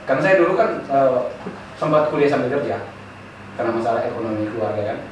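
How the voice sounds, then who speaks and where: A man's voice: medium pitch, expressive, fast. Man, casual chat host, eastern Indonesian, easygoing questions, roomy far-off sound, faint room noise. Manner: leading questions, frequent fillers and restarts. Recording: echoey room, mic at a distance.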